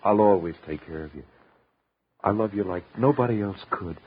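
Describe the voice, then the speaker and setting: A man's voice: fairly low pitch, normal speed, breathy edge. An actor playing an obsessive, lovesick male painter in 1950s radio drama, intense, volatile and melodramatic. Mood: tender pleading. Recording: muffled, noisy 1950s radio recording.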